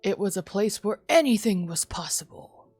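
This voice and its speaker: A woman voicing a gentle father who tells an ominous bedtime fairy tale, reading a visual novel in a hushed, measured way. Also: mid-range voice, lively intonation, husky, no echo, faint music behind. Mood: ominous, soothing. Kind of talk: visual novel read-aloud.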